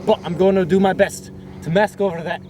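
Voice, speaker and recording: A man playing an excitable, easily indignant wilderness survival host with a theatrical manner in a mock nature documentary skit. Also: sits high, a little fast, natural voice. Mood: hushed, instructive. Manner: theatrical, exclamatory, squabbling. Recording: noisy outdoor amateur recording.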